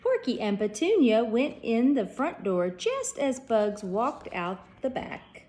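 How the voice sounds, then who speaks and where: A woman P.E. teacher reading a children's storybook aloud, clear and expressive. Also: on the high side, slow.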